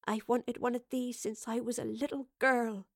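A woman's voice: mid-range voice, a little slow, no room sound, clean studio sound, natural voice. A woman voicing a weary, kindly working-class old woman with homely, colloquial, wistful speech in an audio story. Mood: awed, wistful.

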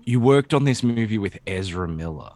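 A man chatting casually as a podcast co-host, rambling and repeating words as he thinks out loud, with casual swearing. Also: lowish voice, animated, moderate pace, dry recording. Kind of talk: casual conversation about comic book films.

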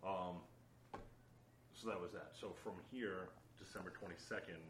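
A young man with a deep voice telling a rambling story in casual chat, aspiring voice-over artist. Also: steady tone, moderate pace. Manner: laid-back, rambling, many fillers. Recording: roomy, distant mic, faint noise.

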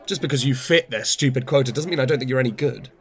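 A male actor as a middle-aged-to-older man of the theatre, self-absorbed and wry. He talks very quickly at a middling pitch, rambling through his opinions with a patronising edge, in natural radio play dialogue. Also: lightly acted. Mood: opinionated, patronising.

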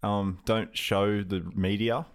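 A man's voice: lowish voice, flat delivery, slow, smooth. A man co-hosting a podcast, giving casual opinions in a blunt, emphatic, sweary way. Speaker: podcast co-host. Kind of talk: casual chat, opinionated commentary.

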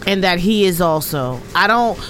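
A woman's voice: fairly low pitch, lively intonation, moderate pace, a bit bright, a little hiss or hum. A woman in her late twenties talking candidly and emphatically as a podcast co-host in casual conversation. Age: late 20s. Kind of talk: candid casual panel chat.

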